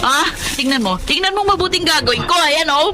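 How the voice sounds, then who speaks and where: An actress playing a jealous, hot-tempered wife in a radio drama, scolding with a sharp, sarcastic tongue. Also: female voice, high voice, expressive, brisk. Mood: furious. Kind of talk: Tagalog radio drama acting.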